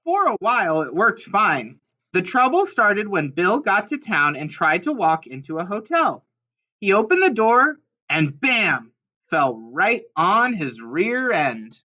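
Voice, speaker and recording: A woman's voice: medium pitch, sing-song, slow, sharp tone, muffled recording. A woman reading a children's storybook aloud expressively, with dramatic emphasis on key words. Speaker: storybook reader.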